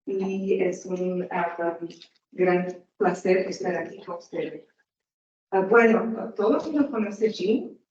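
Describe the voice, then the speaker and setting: Woman, Slovak accent, Portuguese traces, hesitant, slow, distant mic, dull sound. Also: on the low side, darkish tone, a little hiss or hum. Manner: hesitant, searching for words in a non-native language. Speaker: guest speaker. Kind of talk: conference talk. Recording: echoey hall, distant mic, muffled.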